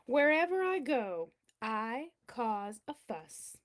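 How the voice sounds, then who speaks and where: A woman's voice: fairly high pitch, very animated, slow. A woman voicing a boastful, pompous chunk of cheddar cheese in a theatrical storybook read-aloud. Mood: boastful, showy. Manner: pompous, showy, theatrical delivery.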